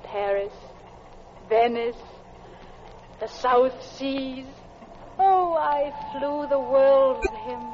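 A woman's voice: high voice, extremely slow, pauses often, noisy background. An actress playing a guilty, lovelorn married Englishwoman in an old radio drama, with a clipped, genteel English accent and wistful restraint. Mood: dreamy. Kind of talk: old radio drama acting, first-person narration. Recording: muffled old radio recording, music behind.

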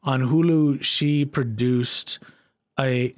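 A man's voice: mid-range voice, fairly even intonation, extremely slow. A man co-hosting a podcast in casual chat, blunt and jokey, with plenty of swearing. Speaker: podcast co-host and poet.

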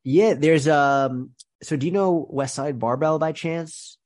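A young man with a nasal, stuffy voice from a head cold, chatting fast as a podcast guest and restarting his sentences often. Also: fairly high pitch, a little mellow. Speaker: podcast guest, strength and nutrition coach. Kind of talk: casual interview chat.

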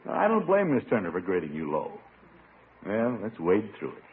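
Blustery, soft-hearted middle-aged uncle, hammy 1950s radio comedy, widely swooping pitch, tinny old broadcast. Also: male voice, wildly swooping pitch, moderate pace, breathy edge, exaggerated voice. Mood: reading aloud, softening. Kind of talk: 1950s radio sitcom acting.

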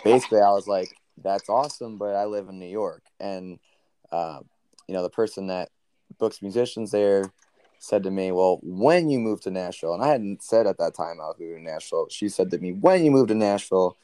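A young man with a very low, smooth baritone voice tells a story in an easygoing interview. He rambles a little, leaning on ums and you-knows. The sound is close and dry. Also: a little fast, no echo. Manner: relaxed, rambling with many fillers. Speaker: country singer, guest.

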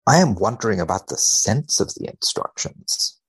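A man speaking as a podcast guest in a measured, deadpan way with dry humour, both chatting and reading research aloud. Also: on the low side, slightly rough. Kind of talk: wry conversation and dramatic reading of a research paper.

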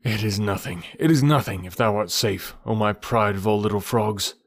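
A man's voice: lowish voice, moderate pace, no room sound, studio quality. Man playing a tender, plaintive old bear. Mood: tender, worried. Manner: plaintive, affectionate.

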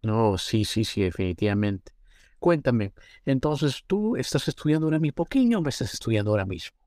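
A man's voice: mid-range voice, very animated, fairly quick, mellow, no room sound, clean studio sound. A man hosting an interview, affirming his guest and asking friendly questions. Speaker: interviewer host. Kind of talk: casual interview.

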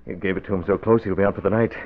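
Masked crime fighter, low voice, terse, commanding, old radio drama, muffled noisy recording. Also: male voice, steady tone, rapid-fire. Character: commanding masked male vigilante, cool and forceful.

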